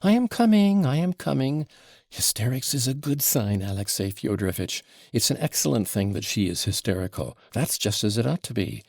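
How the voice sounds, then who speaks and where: A flustered, gushing middle-aged mother from an audiobook novel, all breathless exclamations as a male narrator puts her on. Her voice swoops high and lively with a gravelly, husky grain. It sits dry and close. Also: moderate pace, dry recording.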